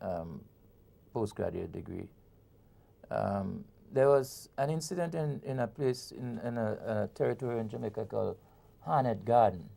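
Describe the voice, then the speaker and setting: A man's voice: on the low side, lively intonation, normal speed, vocal fry, warm tone. A man, a poet from Grenada, talks through his work in an interview, often repeating words and restarting before he lands a point. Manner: explains with frequent repetitions and restarts. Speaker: poet and author. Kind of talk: conversational interview.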